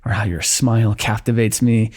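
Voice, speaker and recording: A man performing an intimate fantasy roleplay for ASMR, speaking softly in a teasing, affectionate way. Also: lowish voice, steady tone, normal speed. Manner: soft, teasing and affectionate, with frequent light laughs.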